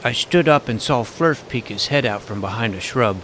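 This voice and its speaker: A man's voice: mid-range voice, lively intonation, moderate pace, a bit bright, slight background noise. A man narrating a comic fantasy adventure in the first person as its self-important young hero.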